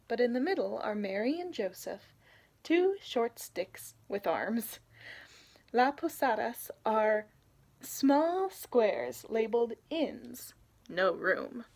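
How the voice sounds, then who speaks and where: A woman's voice: a little high, a little mellow. A young adult woman reading a children's picture book aloud in an informal storytelling manner. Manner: informal, pauses to point out illustrations.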